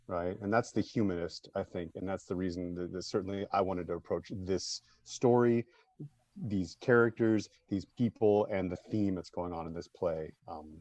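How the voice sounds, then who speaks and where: Male, low register, close dry sound. Earnest, emphatic creative lead talking through ideas in a discussion. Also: darkish tone, dry recording. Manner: earnest, emphatic, often ends points with "right?". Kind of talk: reflective panel discussion.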